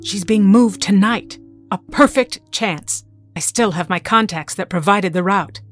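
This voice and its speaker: A woman's voice: mid-range voice, measured pace, a bit bright. Woman acting cold bossy ageless mutant mom, audio drama, clipped and guarded, bit of music behind. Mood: cool, firm. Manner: clipped, authoritative. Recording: music under the dialogue.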